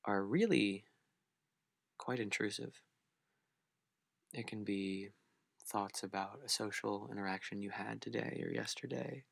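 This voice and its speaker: Man, meditation instructor, gravelly low voice, gentle unhurried delivery, long pauses, very dry sound. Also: no room sound. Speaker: meditation guide. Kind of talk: guided meditation for beginners.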